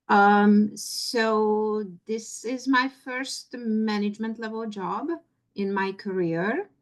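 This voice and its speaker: Casting: woman manager on a panel, voice slightly on the low side, speaking informally and haltingly. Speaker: panelist, medical library manager.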